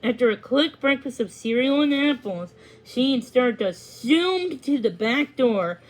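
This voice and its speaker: A woman with a fairly high voice narrates a children's storybook. She reads it aloud as a story, occasionally stumbling over a word and repeating it. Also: lively intonation, a little slow, low-quality recording. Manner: stumbles and rereads words. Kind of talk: read-aloud storybook narration with acted dialogue.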